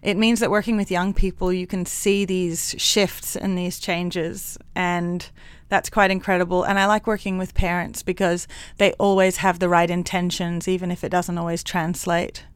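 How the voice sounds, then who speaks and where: A woman's voice: lowish voice, level tone, normal speed, clear, resonant tone, no echo. Laughing woman psychologist, mixed accent. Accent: mixed international. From Hong Kong. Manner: warm, laughs often, frank. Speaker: clinical psychologist guest. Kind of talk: interview conversation.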